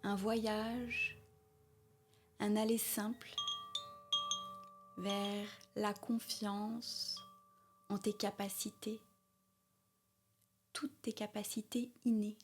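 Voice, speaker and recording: A woman guiding a yoga nidra meditation in a soft, breathy, calm and soothing voice, with long pauses and repeated phrasing. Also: mid-range voice, faint music behind. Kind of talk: guided meditation, spoken slowly.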